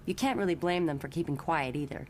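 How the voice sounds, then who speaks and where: A woman's voice: sits low, quick pace, a little mellow, a little hiss or hum, close to an everyday voice. A woman voicing a friendly, knowing young telepath who guides a newcomer in a motion comic, chatty and matter-of-fact. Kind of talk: expository motion comic voice acting.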